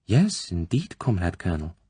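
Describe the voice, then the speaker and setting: A man's voice: low-pitched, wildly swooping pitch, normal speed, warm tone, slightly rough, no room sound, heavily acted. A man voicing a frightened young female Soviet corporal who answers in a timid, hesitant, obedient way.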